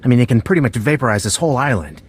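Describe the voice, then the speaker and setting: A man's voice: mid-range voice, animated, rapid-fire, a little hiss or hum, close to an everyday voice. Casting: male actor for casual, eager soldier in a military video game, mid-range voice, talkative. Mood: casual, wry. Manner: casual, informal. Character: chatty, eager military squad member.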